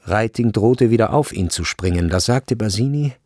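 A man's voice: fairly low pitch, expressive, normal speed, darkish tone, no room sound, studio quality. A man narrating a literary radio drama in a sober, scripted manner.

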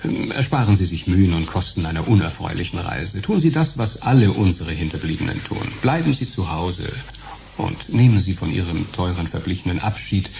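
A pompous undertaker in a radio play, with a low, gravelly voice over clear background noise. He is oily and evasive, wrapping everything in stiff formal phrases. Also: animated, brisk. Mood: smoothly condescending. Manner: pompous, unctuous, stilted. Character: unctuous, evasive male funeral director, stiffly formal.

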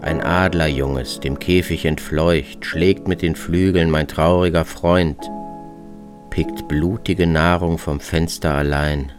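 Very deep-voiced male radio narrator. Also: steady tone, slow, over music. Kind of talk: literary scripted narration with poem recitation.

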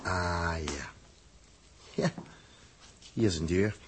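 An actor playing a bossy, impatient, technically minded space explorer in a 1960s radio drama, gruff and explanatory. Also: male voice, low voice, drawn-out words. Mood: brisk, analytical. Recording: noisy early 1960s radio recording with clicks.

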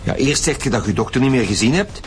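A man's voice: mid-range voice, lively intonation, fast talker, over music, close to an everyday voice. A man playing a stern, probing Flemish police detective in a naturalistic radio drama, speaking formally. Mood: accusing.

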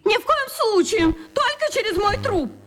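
A very high, theatrical voice of an overprotective mother in a children's radio play. She is pleading and alarmist, treating everything as a mortal danger. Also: animated, moderate pace, fairly bright tone. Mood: alarmed indignation. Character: overprotective, panicky mother of a schoolboy.

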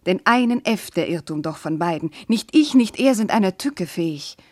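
A woman's voice: fairly low pitch, animated, moderate pace, darkish tone, no echo, studio quality. A woman playing a young, noble princess, anguished and bewildered, in solemn, fervent German classical verse radio drama.

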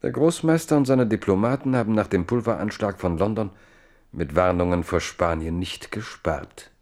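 A man narrating a historical radio play in a measured, literary storytelling manner. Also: on the low side, darkish tone.

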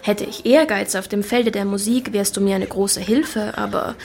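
An actress in a German radio drama playing a witty, spirited young gentlewoman, playful, teasing and self-possessed. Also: medium pitch, fairly even intonation, normal speed, vocal fry, slight background noise, lightly acted. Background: music.

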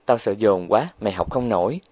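A man's voice: on the low side, a little fast, a little mellow, husky, dry recording, muffled recording. A man voicing a reserved, earnest young woman who works as a tutor, speaking cautiously and sincerely.

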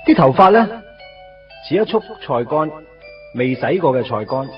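A man's voice: on the high side, very animated, a little slow. An actor playing a wry, put-upon young man in a Cantonese radio drama, conversational and self-mocking with a Hong Kong accent. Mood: bemused, deadpan. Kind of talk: radio drama narration and dialogue. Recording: dull radio recording with music underneath.

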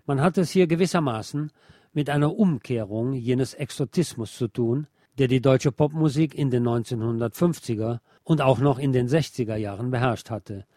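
A man reads a nonfiction book as a narrator, in a measured, formal way. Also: mid-range voice, warm tone, no echo, clean studio sound. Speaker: narrator and audiobook reader of a radio programme.

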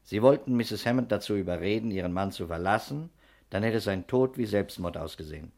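An actor playing a shrewd, authoritative investigator in a 1960s German radio crime drama, speaking briskly and methodically. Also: male voice, lowish voice, creaky, darkish tone. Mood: accusing, relentless. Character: shrewd, commanding male private investigator, methodically accusing.

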